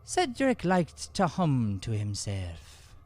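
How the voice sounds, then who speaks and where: Male narrator for radio-style comedy play. Very animated pitch, deliberate slow delivery, theatrical storytelling.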